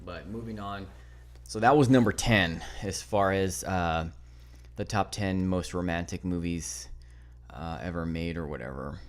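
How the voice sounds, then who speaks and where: Low-voiced male podcast host, loose and profane, rambles through a countdown. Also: measured pace. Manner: rambling, profane, fumbles for names. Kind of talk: casual podcast chat, counting down a movie list.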